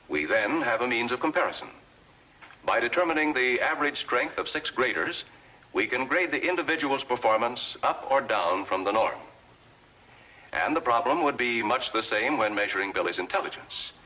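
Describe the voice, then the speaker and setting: A man with an American accent reads formal, scripted narration for a 1950s instructional film, measured and precisely enunciated. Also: lowish voice, pushed and bright, low-quality recording. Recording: vintage 1950s film soundtrack.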